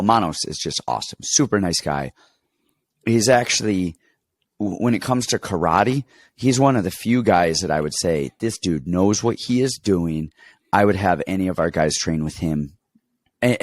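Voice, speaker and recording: A deep-voiced man, stuffed up and congested, rambles through a casual fight breakdown. He tells animated stories full of restarts and asides. The sound is close and dry, with no room around it. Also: no echo. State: congested, getting over an illness. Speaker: podcast co-host and fight coach. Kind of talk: casual sports betting chat.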